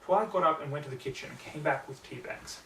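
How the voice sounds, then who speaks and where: A man's voice: a little high, fast talker, fairly bright tone. Man narrating a story in an echoey room. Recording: roomy, distant mic, faint noise.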